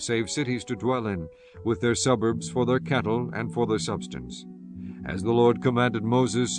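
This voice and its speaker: A man reading scripture as an audiobook narrator, in a measured, formal and solemn manner. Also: medium pitch. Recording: music under the voice. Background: soft instrumental music.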